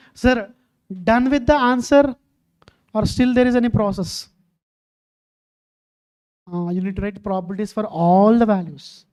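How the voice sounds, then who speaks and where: Mellow-voiced woman teaching. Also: mid-range voice, low-quality recording. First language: Telugu. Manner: keeps quizzing students, calling them "ma". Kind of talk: online exam-prep lecture.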